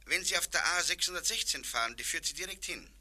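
An actor playing a brisk, dutiful police desk sergeant with a formal, helpful manner in a German radio play. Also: male voice, fairly high pitch, animated, pushed and bright, full character voice.

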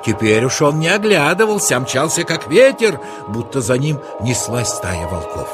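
A man narrating a children's story in a dramatic, expressive, exclamatory style. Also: medium pitch, moderate pace, music in the background.

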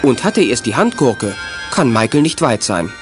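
A man narrating a scripted children's audio drama in a measured, suspenseful storyteller's way. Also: medium pitch, sing-song. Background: music.

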